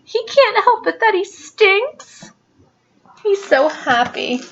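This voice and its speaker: A woman's voice: very high-pitched, big pitch swings, takes it slowly, fairly bright tone, muffled recording, a little hiss or hum, voice pushed up, full character voice. A woman voicing a devoted young child in a storybook, pleading tenderly and insistently.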